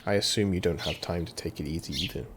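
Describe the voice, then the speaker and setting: A man with a fairly low voice reads game text theatrically and drops in casual commentary. The home recording is clearly noisy, with birdsong behind him. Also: quick pace. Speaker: let's-player. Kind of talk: reading game dialogue aloud with casual commentary.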